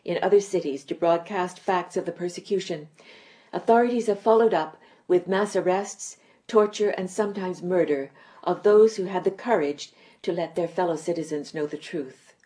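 A woman anchoring a weekly news review, reading a script in an even, measured way. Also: fairly low pitch.

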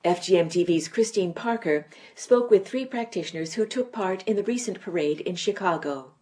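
A woman anchoring a weekly news review, reading a script in an even, measured way. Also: medium pitch.

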